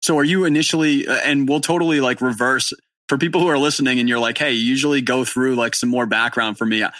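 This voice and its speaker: Man, young adult, podcast host, casual chat, bright tone, dry close sound, excitable, swears freely. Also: mid-range voice, fast, no echo. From New England. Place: barn studio in rural Maine.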